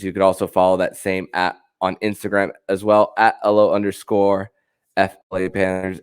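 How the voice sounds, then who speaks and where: A man hosting a sports podcast, speaking in a halting way with repeated and restarted phrases. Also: sits low, clean tone. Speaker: podcast host.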